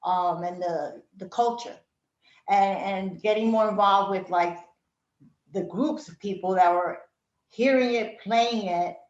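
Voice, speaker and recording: A woman's voice: mid-range voice, a little slow, a little mellow. Reflective New woman, roomy lo-fi sound. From Puerto Rico, raised in Brooklyn, New York. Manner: reflective, many fillers, laughs often. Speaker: interview guest. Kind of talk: casual reminiscing interview.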